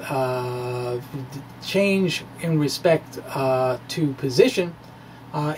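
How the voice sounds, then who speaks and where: High-pitched, halting middle-aged man explaining. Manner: halting, hesitant, wry asides. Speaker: physics blogger and lecturer. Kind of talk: informal physics lecture with derivations. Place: small indoor room with bookshelves. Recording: clear steady background noise.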